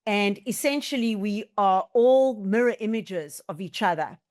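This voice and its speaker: A middle-aged woman speaks with a South African accent in a medium-pitched voice. She talks as an interview guest, explaining her ideas conversationally and repeating phrases for emphasis. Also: lively intonation, measured pace, darkish tone. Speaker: podcast guest, team-building business leader.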